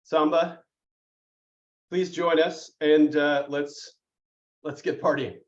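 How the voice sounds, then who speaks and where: A chatty, upbeat man with a fairly high voice hosts a live online class, rambling through fillers and cheerful puns. His sound comes over a video call and is dull and lo-fi. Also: steady tone, slow pace. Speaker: host.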